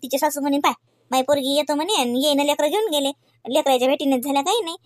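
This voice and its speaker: Woman playing a fast, rambling village grandmother, Varhadi accent. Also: high voice, no echo, studio quality, lightly acted. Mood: chatty, gossipy. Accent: Varhadi Marathi. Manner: rambling, folksy, retelling conversations. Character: talkative, fussing village grandmother, mother of grown son. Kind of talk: homemade comedy cartoon dialogue.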